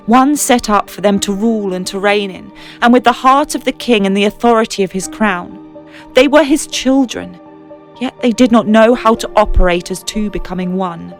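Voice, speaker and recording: A woman reading a scripted story as narrator, in an earnest, dramatic manner with deliberate pauses. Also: mid-range voice. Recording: music playing behind the voice. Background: music.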